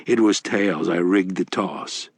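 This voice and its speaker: Man as a quiet, guarded, deadpan ex-con. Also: low voice, slow, vocal fry, breathy edge, dry recording, studio quality, exaggerated voice. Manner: deadpan, understated. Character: quiet, guarded, plain-spoken male ex-convict. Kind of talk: audiobook character acting.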